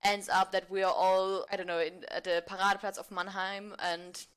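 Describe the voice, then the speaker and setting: A woman's voice: mid-range voice, flat delivery, moderate pace, smooth. Young female podcast guest, informal conversational delivery, mid-range voice. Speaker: podcast guest, amateur theatre member. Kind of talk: casual chat.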